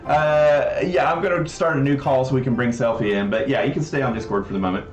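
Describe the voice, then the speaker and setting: A man's voice: medium pitch, fast, recorded from a distance, lo-fi sound. Man, casual stream host, rambling, roomy echo, music in the background. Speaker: livestream host. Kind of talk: casual livestream chat.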